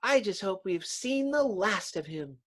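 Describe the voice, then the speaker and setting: A man plays a young comic-book heroine. The woman's voice is high, anxious and urgent, pleading with her teammates. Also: expressive, unhurried, fairly bright tone, exaggerated voice. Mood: uneasy.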